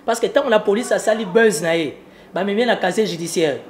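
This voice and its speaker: A man's voice: high-pitched, brisk. A man from the Congo with a Congolese accent, giving political commentary as an interviewed analyst, emphatic and often repeating his phrases.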